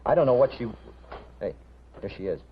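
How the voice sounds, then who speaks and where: An actor playing a chatty, droll American diner counterman, husky and slangy, in a 1950s television drama. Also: medium pitch, lively intonation, fairly quick, slight background noise, close to an everyday voice. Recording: muffled 1950s television soundtrack.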